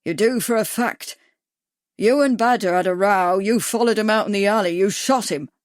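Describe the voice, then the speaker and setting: A woman's voice: mid-range voice, normal speed, no echo, clean studio sound, natural voice. A woman voicing a burly, menacing man with a thick accent who snarls and growls threats.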